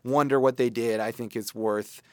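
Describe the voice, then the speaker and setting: Clear-voiced man chatting about films. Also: mid-range voice, steady tone, moderate pace, no echo, studio quality. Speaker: movie review show host. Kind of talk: casual film review chat.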